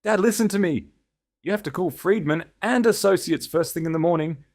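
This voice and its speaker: A man's voice: sits high, fairly quick, pitched above the performer's own voice. A man voicing a proud, desperate executive in an audiobook, with frantic, breathless, insistent delivery. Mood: panicked pleading. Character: proud, desperate disgraced male executive, panicking and pleading.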